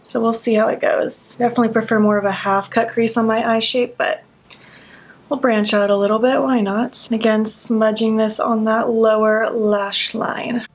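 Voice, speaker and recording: A young woman in a casual makeup tutorial, talking softly with a sleepy early-morning voice and narrating each step as she works. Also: on the high side, level tone, moderate pace. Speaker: beauty vlogger. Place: at home.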